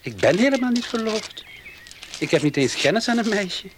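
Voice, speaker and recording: An earnest young servant in a radio drama, fairly high for a man and very animated. He is polite and deferential to his betters. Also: normal speed, slight background noise. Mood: earnest protest. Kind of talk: Dutch radio play acting.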